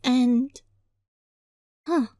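A woman reading a visual novel aloud as a let's play, dramatic and playful, in a giggly, flustered, excitable manner. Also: high-pitched, very slow, no room sound, clean studio sound. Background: music.

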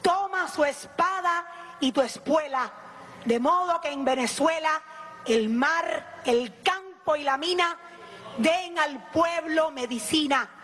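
A woman reciting a poem at a podium in measured, declamatory phrases. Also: high-pitched, fairly bright tone. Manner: slow, declamatory, pauses between phrases. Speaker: poet reciting at an event. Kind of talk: poetry recitation. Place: auditorium. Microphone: podium microphone.

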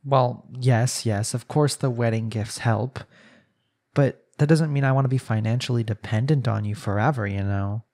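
A man's voice: mid-range voice, normal speed, darkish tone, natural voice. A man voicing a young newlywed husband in an arranged marriage, speaking conversationally in an intimate audio roleplay. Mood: reassuring, weary.

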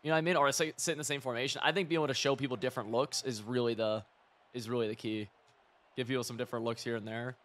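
A hoarse young man talks through a football video game as he plays, hopping from tactics to chatty personal tangents. His voice sits at an ordinary pitch, and he gets loud when a play goes well or badly. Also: rapid-fire. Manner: rambling, excitable, swings between coaching and side stories. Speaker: gaming video creator. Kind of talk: live gameplay commentary.

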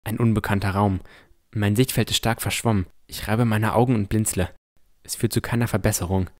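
A man's voice: fairly low pitch, fairly even intonation, brisk, a little mellow. A man reading scripted first-person narration as the narrator of a fantasy audio drama.

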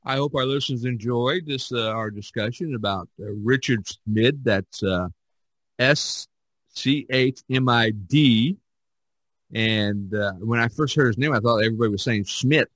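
A man hosting a podcast in casual, halting talk, spelling things out as he goes. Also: mid-range voice, a bit bright, no room sound. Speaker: podcast host. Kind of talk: casual chat and sign-off.

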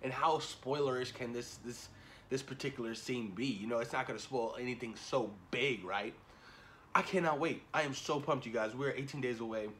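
A man in his thirties chatting casually and excitedly to camera, slangy and repeating himself for emphasis, as a reaction video host. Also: mid-range voice, normal speed. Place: small indoor room at home. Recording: noticeable background noise, home camera recording.

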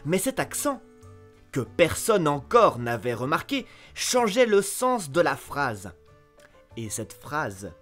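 Slow, expressive male storyteller over soft music. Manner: expressive, pitch rises sharply on key words. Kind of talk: scripted fairy-tale narration.

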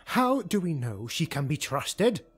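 A grim, wary male elf retainer , as a man reading an audiobook does him, pitched well above the narrator's own voice and pushed louder. He is blunt and disapproving, with a husky edge. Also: very animated, a little slow, full character voice.

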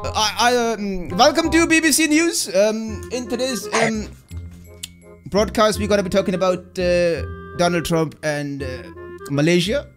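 A man's voice: high-pitched, a little slow, faint music behind. A young man, joking with strangers on a video chat in his bedroom, teasing them and switching languages playfully. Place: small bedroom with purple lights. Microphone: studio mic on arm with headset.

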